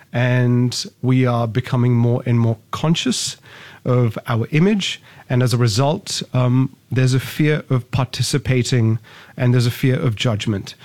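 Measured, wordy young man from Singapore. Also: mid-range voice, darkish tone. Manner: measured, wordy, formal phrasing. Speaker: startup founder, guest. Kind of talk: interview answers.

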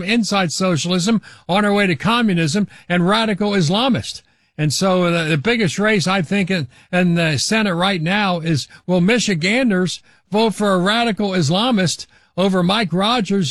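An older man hosting a talk radio show, giving opinionated commentary in a halting way with restarts. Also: high voice, a bit bright, no room sound, clean studio sound. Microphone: studio microphone.

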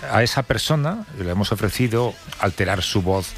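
A man's voice: on the low side, lively intonation, moderate pace. A man with a Spain Spanish accent hosting a radio show, introducing and interviewing a guest conversationally.

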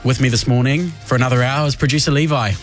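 A man's voice: medium pitch, steady tone, quick pace, faint music behind. A young man from West Auckland with a New Zealand accent, guest-hosting a morning radio show in an upbeat, easygoing way. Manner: upbeat, easygoing, with Pacific greetings. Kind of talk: radio show presenting and casual banter. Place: radio studio.

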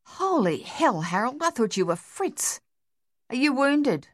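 A woman voicing an urgent young male soldier in an audiobook, speaking gruffly and coaxing his comrade. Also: high voice, big pitch swings, unhurried, dry recording, clean studio sound, pitched above the performer's own voice, exaggerated voice.

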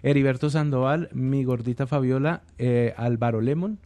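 An older Colombian man speaking at a middle pitch. He is a comedian on the radio, reminiscing in a loose, conversational way. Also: normal speed, warm tone. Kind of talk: casual reminiscing.

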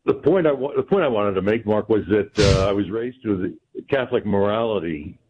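Guy calling into a radio show, lowish voice, tinny phone line, kinda halting. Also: lively intonation, a little hiss or hum. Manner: earnest, halting with fillers. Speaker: caller. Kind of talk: call-in on a talk show.

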